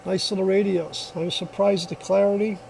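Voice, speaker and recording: A man's voice: high-pitched, level tone, brisk, gravelly, darkish tone, slight background noise, poor audio. A man giving a casual hands-on product demonstration in a plainspoken, folksy, reassuring way, recorded on a phone.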